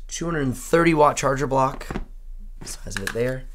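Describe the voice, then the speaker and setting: Hoarse young male tech reviewer, mid-range voice, chatty and upbeat while unboxing. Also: mid-range voice, normal speed, fairly bright tone. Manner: chatty, upbeat, thinks aloud while handling gear. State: hoarse, losing his voice. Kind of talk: casual unboxing talk.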